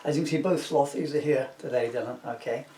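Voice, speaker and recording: A man's voice: mid-range voice, fast, mellow, a little hiss or hum. A middle-aged man chatting informally and reading from his own children's book, with jokey, rambling asides. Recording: roomy echo, distant microphone.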